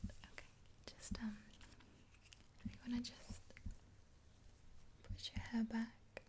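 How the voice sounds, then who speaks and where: Softly whispering young woman, ASMR. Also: fairly high pitch, completely flat delivery. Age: 20s. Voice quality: breathy, unvoiced whisper. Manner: gentle, unhurried, reassuring. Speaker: ASMR performer playing a makeup helper. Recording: hissy close-up with clicks.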